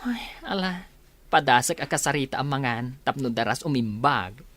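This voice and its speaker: A woman's voice: a bass voice, animated, moderate pace, fairly bright tone, full character voice. A woman playing a caring, practical sister in a radio drama, with a coaxing, sometimes hesitant manner. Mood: gentle, helpful. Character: caring, practical woman looking after her injured brother. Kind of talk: Filipino radio drama acting.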